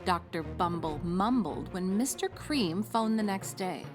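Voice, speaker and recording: A woman, a teacher, reading a children's story aloud in an expressive narrating style with faint music behind her. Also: mid-range voice, measured pace.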